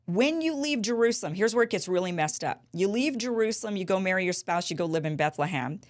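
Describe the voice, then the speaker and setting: A woman in her thirties hosting a political interview show, telling stories with animated gestures in a small studio. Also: mid-range voice, fast talker. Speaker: host. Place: small studio with a bright backdrop. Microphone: studio mic on a boom.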